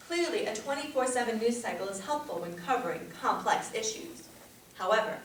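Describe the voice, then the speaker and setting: A young woman speaks from some distance in a very reverberant room, with faint noise in the recording. Her delivery is a rehearsed, persuasive competition speech, formal and deliberate. She projects her words at a medium pitch. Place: echoey indoor room at a speech tournament. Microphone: distant camera or room microphone.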